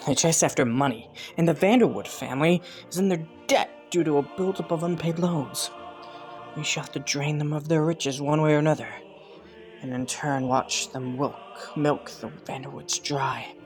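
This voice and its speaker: Young ambitious prince played by a man for romantic fantasy roleplay: lighter pitch, courtly diction, gently hesitant, scheming but earnest, faint music underneath. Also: normal speed, lightly acted. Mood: calculating. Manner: formal courtly phrasing, softly hesitant at times. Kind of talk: romantic fantasy audio roleplay addressed to listener.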